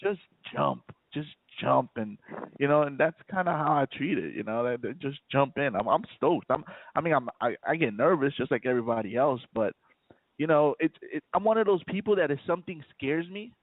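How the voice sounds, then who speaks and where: A man's voice: medium pitch, animated, fairly quick, no echo. A man from the South Bronx, a rapper speaking as a guest in a casual radio interview, repeating words and leaning on "you know". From South Bronx, New York. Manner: stammering repeats, many "you know" fillers. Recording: tinny phone line, dry.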